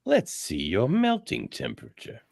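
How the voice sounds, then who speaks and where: A man's voice: lowish voice, huge pitch swings, normal speed, vocal fry, darkish tone, full character voice. A man playing a cocky, sneering fire-wielding villain in a radio theatre read, theatrical and mocking.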